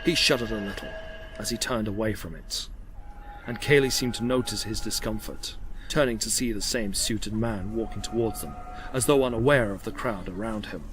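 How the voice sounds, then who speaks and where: A man's voice: fairly low pitch, moderate pace, low-quality recording. A man narrating an audio drama with scripted, dramatic storytelling delivery. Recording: loud music behind the voice.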